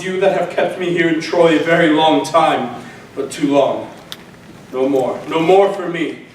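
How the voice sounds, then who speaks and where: A man playing a grief-mad warrior hero. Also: natural voice. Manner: declamatory stage delivery, swinging from anguished shouts to low despair. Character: grief-maddened proud male warrior hero. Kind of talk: stage tragedy acting. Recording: echoey room, far from mic, faint noise.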